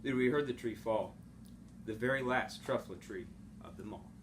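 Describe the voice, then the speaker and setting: A man reading a children's storybook aloud like a teacher, in a rhythmic, dramatic, expressive way. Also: medium pitch, normal speed. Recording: home recording with clear background noise.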